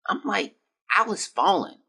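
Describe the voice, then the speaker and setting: An actor playing a gravely ill, weary young boy who haltingly reads out his diary in an audio drama. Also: medium pitch, lively intonation, bright, forceful tone, full character voice. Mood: sick, drifting. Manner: weak, halting, drifting. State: feverish and exhausted.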